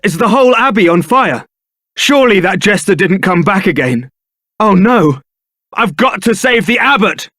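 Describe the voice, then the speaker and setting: An actor playing a young templar knight in a video game, suave, wry and brooding, with a theatrical, articulate delivery. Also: male voice, sits high, lively intonation, moderate pace, pushed and bright, no echo, studio quality, exaggerated voice. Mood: alarmed, urgent. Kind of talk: video game voice acting, dramatic scripted dialogue.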